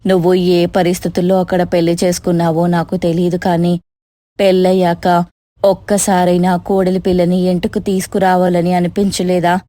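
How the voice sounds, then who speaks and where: A humble older village mother-in-law, played by a woman in a cartoon moral tale. Her voice is low and motherly, plain-spoken, recorded dry and close. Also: flat delivery, normal speed, mellow, no echo, natural voice. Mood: reproachful. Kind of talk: moral story cartoon voice acting.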